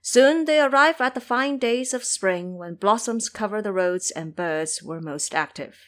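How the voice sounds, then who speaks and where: A woman narrating a scripted audio drama in a theatrical, deliberate storytelling manner. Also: medium pitch, lively intonation, fairly bright tone.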